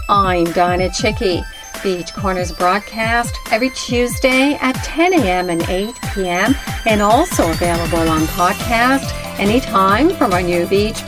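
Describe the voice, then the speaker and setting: A Canadian woman hosting a community radio show, presenting to her listeners and guest. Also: medium pitch.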